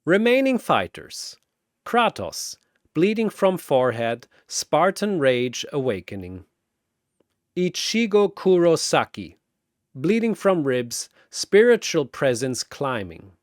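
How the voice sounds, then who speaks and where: A man narrates a battle story with theatrical emphasis. His pitch swings widely while the pace stays slow and deliberate, in a very dry recording. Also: very animated, no echo. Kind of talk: dramatic scripted battle narration.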